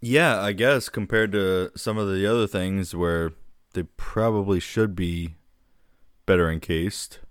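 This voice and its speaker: A man's voice: deep voice, a little slow, clean tone, no room sound, clean studio sound. A man co-hosting a podcast in a casual, laid-back way, often calling his co-host dude.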